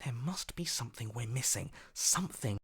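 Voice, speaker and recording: Uneasy hero's inner voice, swooping pitch. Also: male voice, lively intonation, moderate pace, fairly bright tone, breathy edge, heavily acted. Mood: uneasy, searching. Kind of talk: video game voice acting.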